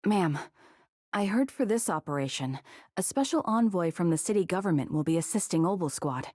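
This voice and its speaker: A woman's voice: on the low side, animated, fairly quick, darkish tone, no echo, studio quality. A woman playing a polite, attentive soldier in a video game, speaking formally. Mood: inquiring.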